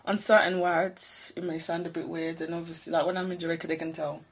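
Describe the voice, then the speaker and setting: A young British woman co-hosting a podcast, chatting in a relaxed, rambling way with lots of fillers and restarts. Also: on the low side, fairly quick, a little hiss or hum. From Britain. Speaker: podcast co-host. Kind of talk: casual chat.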